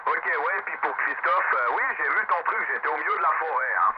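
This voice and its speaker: A man's voice: on the high side, animated, fairly quick. A man with a Swiss French accent talking casually as an amateur radio operator over shortwave. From Switzerland. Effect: shortwave radio transmission. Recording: muffled, very noisy shortwave radio reception.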